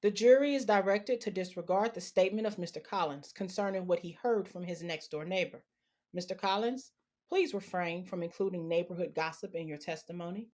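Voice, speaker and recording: A woman's voice: fairly low pitch, fairly quick, darkish tone, natural voice. A woman playing a stern, authoritative trial judge in an audio drama, speaking formally and admonishing. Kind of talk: audio drama acting, courtroom rulings.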